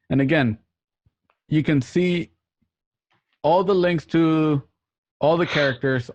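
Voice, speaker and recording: A man's voice: medium pitch, dry recording, lo-fi sound. A man hosting an online radio play show, signing off to listeners in a casual, jokey, rambling way over a group call. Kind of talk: casual, chatty sign-off to listeners. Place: remote group call from home.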